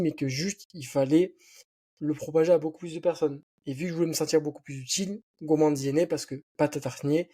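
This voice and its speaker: A man's voice: a little high, dark tone, husky. Young man with a southwestern French accent. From southwest France. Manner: rambling, many fillers. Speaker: podcast guest, founder. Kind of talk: casual podcast chat.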